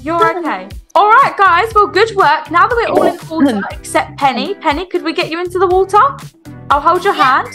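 A woman's voice: very high voice, a little fast, bright, forceful tone, soft background music. A woman playing an encouraging, rule-minded children's swimming coach in an improvised online game roleplay, instructing politely and reassuringly.

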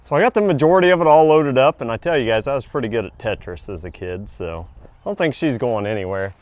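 A man's voice: mid-range voice, expressive, quick pace, darkish tone, faint music behind. A man in his forties filming a casual vlog, chatty and upbeat, with plenty of fillers. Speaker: vlogger, truck parts seller. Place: garage, driveway and large exhibition hall.

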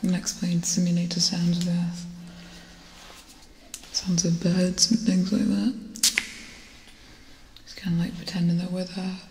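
A young woman playing a wary, caring spaceship crewwoman in a soft, breathy, unhurried ASMR roleplay whisper. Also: fairly low pitch, monotone, echoey room, a little hiss or hum, low-quality recording. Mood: calm, caring. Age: young adult. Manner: soft, unhurried, muted delivery.

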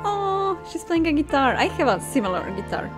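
A young woman gives casual gaming commentary in a fairly high, lively voice. She is excitable but takes her time, with clear game music behind her. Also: normal speed. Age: young adult. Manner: giggly, excitable. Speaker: gaming video host.

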